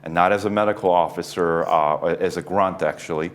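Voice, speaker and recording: A man in his forties, a neurosurgeon guest on an interview show, explaining things in a measured way with light chuckles. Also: sits low, steady tone. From United States East Coast. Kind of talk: casual interview talk.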